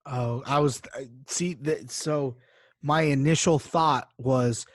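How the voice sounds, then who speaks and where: A man's voice: medium pitch, steady tone, slow pace, dry recording, clean studio sound. A man co-hosting a podcast in casual chat, reacting sarcastically and repeating phrases for emphasis. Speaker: podcast co-host.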